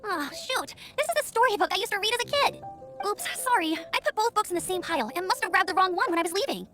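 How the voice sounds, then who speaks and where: Perky teenage girl scout, very high voice, quick pace, eager and earnest, video game character. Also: expressive, fairly bright tone, faint music behind. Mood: embarrassed, sincere.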